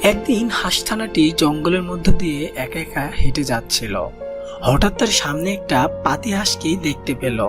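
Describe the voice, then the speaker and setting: A storyteller narrates a children's cartoon tale in a mid-pitched voice. Music plays clearly behind the scripted reading. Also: animated, normal speed.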